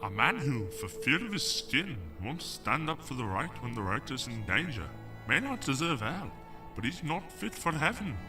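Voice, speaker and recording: A man's voice: mid-range voice, big pitch swings, normal speed, recorded from a distance, over music, natural voice. A man playing a stern, grand archangel passing judgment with authority in an audio drama. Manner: pronouncing, authoritative. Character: stern male archangel, grand, judging, grudgingly fair.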